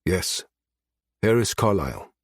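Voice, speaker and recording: A dutiful, guarded minister of state security, played by a male narrator in a science fiction audiobook. His voice is low and measured, calm throughout, with a dry studio-like closeness. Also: slightly rough, no room sound, exaggerated voice. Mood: calm, deferential.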